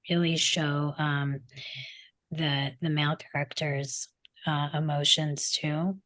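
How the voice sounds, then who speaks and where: A woman's voice: rumbling low, fairly bright tone, dry recording. A woman speaking hesitantly and casually in a group discussion.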